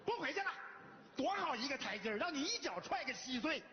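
A man's voice: very high-pitched, sing-song, brisk. An actor playing a bossy, exasperated middle-aged father in a comic sketch, scolding bluntly with a northern Chinese Mandarin accent. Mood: indignant scolding. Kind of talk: theatrical comic sketch acting. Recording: echoey hall, dull sound, faint noise.

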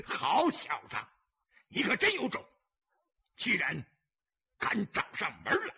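A man voicing a loud, boastful, hot-tempered gambling boss in an audiobook story. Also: extremely high pitch, creaky, lo-fi sound, pitched above the performer's own voice, exaggerated voice. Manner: bellowing, boastful, taunting.

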